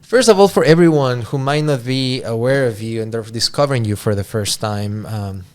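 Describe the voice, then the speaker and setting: A man's voice: medium pitch, moderate pace, a little hiss or hum. A man hosting a casual podcast interview, laid-back and blunt, swearing freely as he asks questions. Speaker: podcast host.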